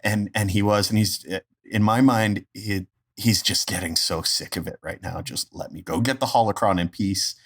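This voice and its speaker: A man's voice: on the low side, fairly even intonation, normal speed. A man chatting casually as a podcast guest, with a wry, joking manner. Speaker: guest voice actor and stand-up comedian.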